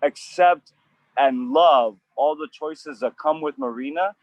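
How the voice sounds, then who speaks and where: A man hosting an outdoor acting coaching session, animated and emphatic, with frequent restarts. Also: mid-range voice, slow, dry recording, lo-fi sound.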